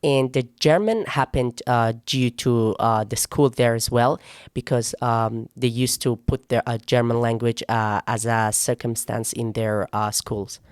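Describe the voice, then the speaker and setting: A man's voice: mid-range voice, steady tone, a little slow, clean tone, no room sound, studio quality. A nineteen-year-old man from northern Iraq whose first language is Kurdish, answering interview questions hesitantly with many fillers and restarts. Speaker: guest, university student.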